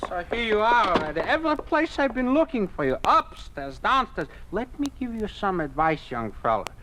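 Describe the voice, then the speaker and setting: An actor playing a fussy, excitable older businessman in a 1950s radio drama, nagging and animated. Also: high-pitched, normal speed, a little hiss or hum, full character voice. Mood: fussy, scolding. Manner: nagging, animated, inverted phrasing. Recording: vintage 1950s radio broadcast.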